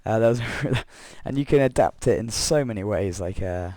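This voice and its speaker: Croaky young man chatting over gameplay. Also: lowish voice, animated, moderate pace, a little mellow. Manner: rambling, self-correcting, chuckling asides. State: croaky voice from illness. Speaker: Minecraft let's player. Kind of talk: casual gameplay commentary and storytelling.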